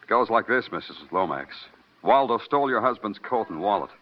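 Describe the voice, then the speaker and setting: An actor playing a sardonic, hard-boiled police detective in an old-time radio crime drama, questioning in a clipped, dry way. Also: male voice, fairly low pitch, sing-song, fairly quick, a little hiss or hum. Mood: coldly accusing. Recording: dull vintage radio recording with music.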